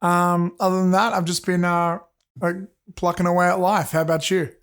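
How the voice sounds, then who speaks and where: A man's voice: high voice, flat delivery, moderate pace, fairly bright tone, clean tone. A man with a sore throat, co-hosting a casual podcast and talking in a rambling, hesitant way with filler words. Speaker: podcast co-host. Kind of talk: casual chat.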